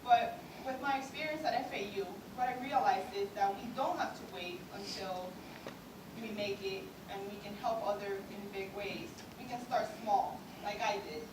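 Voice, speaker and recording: A woman's voice: mid-range voice, normal speed. A woman in her twenties from Cuba, whose first language is Spanish, gives an earnest award speech in English with a Cuban Spanish accent. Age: 20s. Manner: earnest, with light self-deprecating laughs. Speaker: student award speaker. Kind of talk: prepared acceptance speech. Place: large event hall. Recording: echoey hall recorded from far off, noisy.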